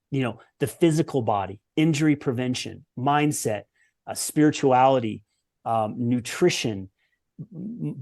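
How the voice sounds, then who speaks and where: Reflective man in his forties. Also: mid-range voice. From United States. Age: 40s. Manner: reflective, earnest, with lists and restarts. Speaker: podcast guest, former pro tennis player. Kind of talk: conversational interview storytelling.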